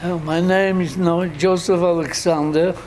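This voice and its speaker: Slow-spoken older man from Poland. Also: high-pitched, level tone, darkish tone, slight background noise. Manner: slow, halting, with restarts and repeated phrases. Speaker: speaker telling his life story. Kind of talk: unscripted testimony to an audience.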